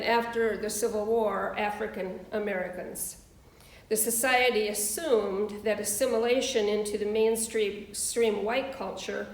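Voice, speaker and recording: A woman minister reading and preaching at a church service in a measured, deliberate way. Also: on the high side. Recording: roomy, echoing voice.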